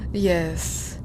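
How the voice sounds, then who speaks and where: A woman playing a boastful, scheming female vampire in a video game cutscene, taunting and sardonic with a purr. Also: full character voice. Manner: taunting, purring, sardonic, with drawn-out threats. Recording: clear rumbling noise behind the voice.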